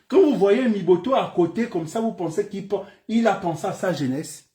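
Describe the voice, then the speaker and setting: A man's voice: sits high, animated, normal speed, dark tone. A man from Gabon with a Gabonese French accent, giving an impassioned political speech full of repeated phrases and rhetorical questions. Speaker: political commentator.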